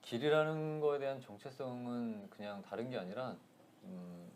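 A man in his thirties, a guest in a casual interview, talking softly and thoughtfully with frequent laughs, on a lapel mic in a small indoor room. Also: mid-range voice, fairly even intonation, slight background noise. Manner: soft-spoken, laughs often, thoughtful pauses. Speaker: actor guest.

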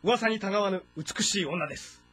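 An eager young nobleman in a historical game drama. His high voice is forceful and slightly rough at the edges, with formal, earnest delivery. Music sits behind him. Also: brisk, exaggerated voice. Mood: eager, respectful.

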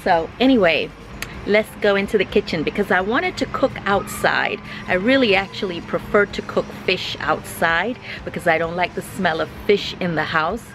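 A woman in her forties hosts a casual home cooking video and chats cheerfully to the camera as she works. Her voice sits a bit low and carries the echo of a kitchen, picked up by a camera a few feet away. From Nigerian, Jamaican and American. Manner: chatty and upbeat, laughing often. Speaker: cooking vlogger. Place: home kitchen indoors, garden outdoors at first.

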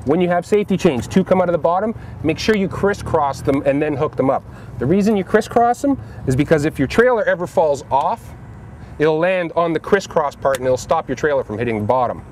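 Man, Canadian accent, slightly high voice, emphatic hands-on instructor, plain-spoken, recorded outdoors with faint background noise. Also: lively intonation, fairly quick, a little mellow. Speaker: pro angler giving tips. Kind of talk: practical how-to demonstration talk. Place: outdoors beside a boat and trailer. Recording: outdoor vehicle and ambient noise.

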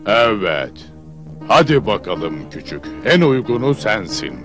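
A man playing a sly man-eating giant father who feigns friendliness in an old cartoon, with theatrical, drawn-out folksy delivery. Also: lowish voice, very animated, over music. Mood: generous, coaxing. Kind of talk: old cartoon fairy-tale dubbing.

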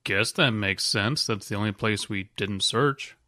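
A man co-hosting a comedy podcast, giving dismissive, deadpan replies in sketch banter. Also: on the low side, unhurried, no room sound, clean studio sound. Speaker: podcast host.

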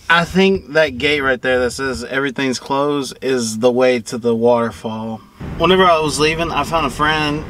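A young man, rambling casually as he vlogs his travels. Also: medium pitch. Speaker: vlogger. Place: parked truck cabin and outdoors on trails. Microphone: handheld or propped camera. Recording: clear vehicle and outdoor background noise.

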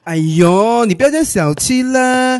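A man's voice: extremely high pitch, expressive, normal speed, no room sound, studio quality. A young man acting in a radio drama as a sassy, loyal best friend with an effeminate, theatrical manner. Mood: teasing, playful.

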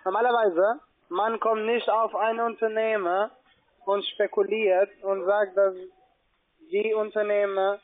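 A man with a foreign accent, not a native German speaker, arguing insistently and haltingly as a call-centre agent on the phone. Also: sits high, flat delivery. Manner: insistent, halting phrasing with repetitions. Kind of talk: argumentative sales phone call. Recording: muffled, band-limited phone line.

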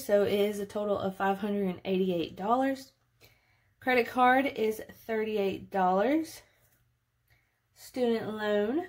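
Female vlogger, late 20s, mid-range voice, casual and chatty, thinks aloud while walking viewers through a task. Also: medium pitch. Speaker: budgeting vlogger. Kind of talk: casual narrated budget walkthrough.

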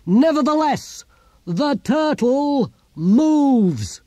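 A man's voice: high voice, very animated, normal speed, dark tone, no echo, pitched above the performer's own voice. A man voicing a blunt, wry old philosopher in an audiobook story, declaiming theatrically with dry humour. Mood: defiant shouting.

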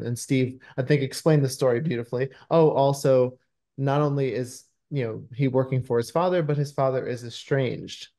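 A man's voice: mid-range voice, vocal fry, warm tone. A man in his thirties giving earnest, conversational explanations as a screenwriter in a video-call interview. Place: indoor room at home, video call. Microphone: video call microphone.

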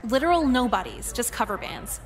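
An actress playing a jaded, bitter high school girl in a video game, with deadpan, biting sarcasm. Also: brisk, close to an everyday voice.

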